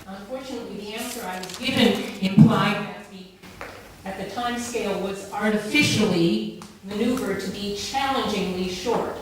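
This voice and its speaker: A woman's voice: medium pitch, normal speed, a crowd in the background. A woman asking formal, pointed questions as a member of the public at a meeting in a large echoey room. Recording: echoey hall from far off, faint noise.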